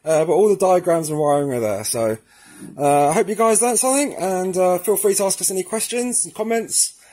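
A man's voice: sits high, fairly quick, a little mellow. A man presenting an installation tutorial in an informal, casual way, talking directly to the viewers. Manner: casual, addresses viewers as guys. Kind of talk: informal instructional demonstration.

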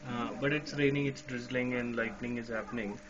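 A man's voice: medium pitch, steady tone, brisk, dull audio, a crowd in the background. A man with an Indian accent, chatting casually as a ham radio operator, laughing often, outdoors at night in the rain.

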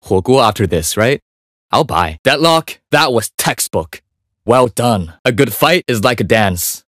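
An actor playing a calm, focused young Chinese assassin in a video game, cool, clipped and politely deadly. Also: male voice, mid-range voice, a little slow, dry recording, clean studio sound. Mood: calm, friendly. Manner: cool, understated, clipped delivery. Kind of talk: video game character voice lines.

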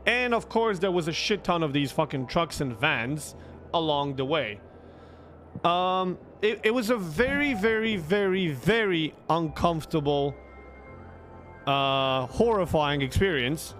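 A young man with a Croatian accent and a fairly high voice tells a story casually to his stream. He is emphatic and swears freely, repeating words to drive a point home. He speaks close to a desktop mic, with music faintly behind him. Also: expressive, a little slow, fairly bright tone. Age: young adult. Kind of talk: casual storytelling to a livestream chat. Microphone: close desktop microphone with headset.

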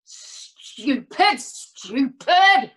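Woman acting a distraught, high-voiced, slow-speaking woman. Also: expressive, fairly bright tone, heavily acted. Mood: anguished, bitter. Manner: halting, with long pauses and repeated phrases. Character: distraught, bewildered woman whose life has suddenly fallen apart. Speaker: monologue performer. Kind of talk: dramatic stage monologue.

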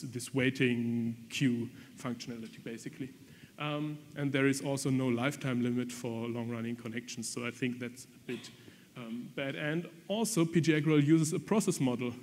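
Male conference speaker, mid pitch, frank and um-heavy delivery, hall reverb behind him. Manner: frank, many ums and restarts. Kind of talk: technical conference presentation. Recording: long reverb from lecture hall.

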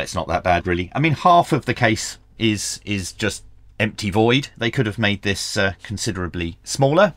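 A man's voice: on the low side, a bit bright, dry recording. A middle-aged man hosting a hands-on product review and tutorial, explaining things step by step with dry asides. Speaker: radio review channel host. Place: small indoor home workshop.

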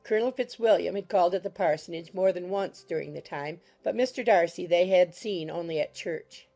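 A woman's voice: lowish voice, fairly quick, creaky, warm tone, faint music behind. A woman reading scripted literary narration as the narrator of an audiobook.

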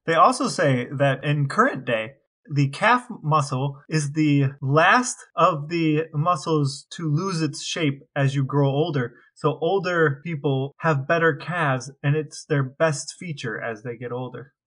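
A man's voice: a little high, measured pace, a bit bright. A man co-hosting a podcast in a casual, conversational style. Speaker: podcast co-host. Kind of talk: casual chat.

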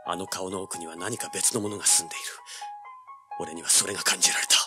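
An actor playing a tough, wary young treasure hunter in an audio drama, with a terse, dramatic delivery. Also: male voice, deep voice, fairly even intonation, fast talker, close to an everyday voice. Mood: shaken, grave. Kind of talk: audio drama acting with first-person narration. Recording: music under the voice.

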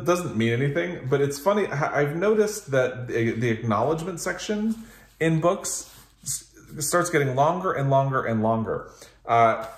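A man's voice: mid-range voice, roomy sound. A man in his thirties talking casually to camera about books, rambling thoughtfully as he reviews them in a living room. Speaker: book reviewer, video host. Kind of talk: casual book chat to camera. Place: small living room with couch and bookshelves, indoors.

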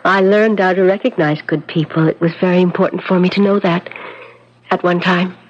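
Actress as gentle girl in love, old radio drama, bit dull and hissy. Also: fairly low pitch, fairly even intonation, fairly quick, close to an everyday voice. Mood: shy, warm. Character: tender, dreamy young woman in love, gentle. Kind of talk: 1970s radio drama acting. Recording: muffled old radio broadcast, faint noise.

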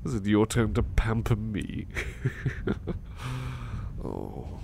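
Casting: male actor as gentle sleepy servant for ASMR roleplay, low creaky voice, anxious, halting, devoted. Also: wildly swooping pitch, heavily acted. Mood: grateful, affectionate. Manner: hesitant, stammering, apologetic. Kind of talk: soft-spoken ASMR roleplay acting. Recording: faint background noise.

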